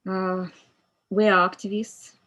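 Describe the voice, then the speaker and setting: A woman from Ukraine, a musician guest speaking with phrasing and pauses to search for words. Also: mid-range voice, level tone, bright, forceful tone. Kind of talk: casual interview chat.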